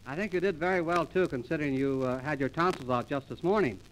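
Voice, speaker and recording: A male announcer of an early radio comedy show with a somewhat high-pitched voice. He introduces material with mock-grand formality, as if reading a script. The sound is an old 1930s transcription disc with some music. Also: fast, poor audio. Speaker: comedy announcer and master of ceremonies.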